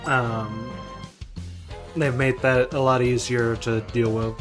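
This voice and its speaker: A man's voice: mid-range voice, flat delivery, takes it slowly. A man chatting casually over gameplay as a co-host, full of teasing, jokey banter. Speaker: let's play co-host. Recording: game music behind the voice. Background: video game music.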